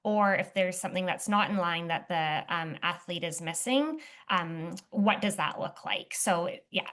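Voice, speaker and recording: A young woman guest in an interview, talking casually with many fillers and restarts, her voice slightly hoarse. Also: fairly low pitch, a little slow, a bit bright. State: slightly hoarse, clearing throat. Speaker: footwear testing lead, interview guest.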